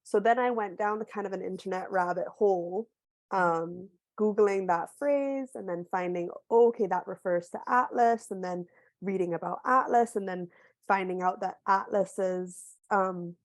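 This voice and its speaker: Slightly hoarse woman author on a call. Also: medium pitch, a little slow, no echo. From Barbados. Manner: thoughtful, storytelling, many small restarts. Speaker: author guest. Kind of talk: casual book club video call conversation.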